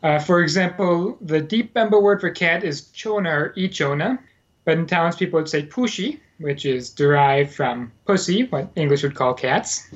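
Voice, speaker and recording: A man's voice: on the high side, normal speed. Man recounting at length, dull audio. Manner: rambling, frequent fillers and self-corrections. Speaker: guest, former Peace Corps volunteer. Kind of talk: casual podcast conversation. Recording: dull lo-fi sound.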